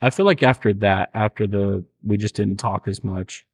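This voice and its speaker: Deep-voiced young man, joking and dry. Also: normal speed, husky. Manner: relaxed, joking, laughs often. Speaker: podcast host. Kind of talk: casual chat with a friend.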